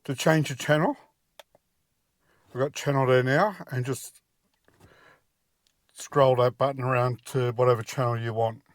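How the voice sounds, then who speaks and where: A man's voice: medium pitch, steady tone, a little slow, long pauses, no room sound, clean studio sound. A middle-aged man walks through a job step by step in a casual, plain-spoken, practical way. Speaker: DIY presenter. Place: outdoors at a car engine bay and inside the vehicle cab.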